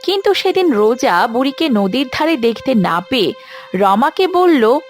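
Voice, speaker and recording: A woman's voice: high voice, big pitch swings, measured pace. A woman narrator telling a scripted cartoon story, with music behind her.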